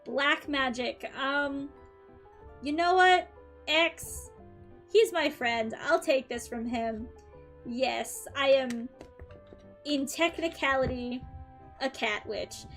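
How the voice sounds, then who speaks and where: A woman's voice: high-pitched, takes it slowly, pauses often, a bit bright, soft background music. A young woman streaming, chatting casually and upbeat with her viewers between tarot readings, her throat a little dry. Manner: chatty and upbeat, frequent "um" and light laughs. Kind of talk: casual live-stream chat.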